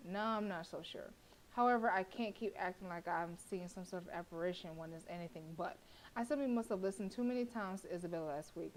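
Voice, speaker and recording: A woman streamer reading a horror visual novel aloud with expressive narration, breaking off to react and laugh. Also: on the low side, slight background noise, low-quality recording.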